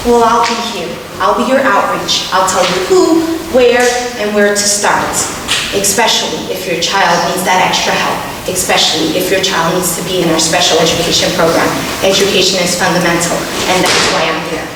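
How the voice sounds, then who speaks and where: A woman's voice: medium pitch, moderate pace. A woman in her thirties whose first language is Portuguese, giving a passionate, emphatic school board candidate speech. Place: large hall at a debate. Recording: echoey hall from far off, noisy.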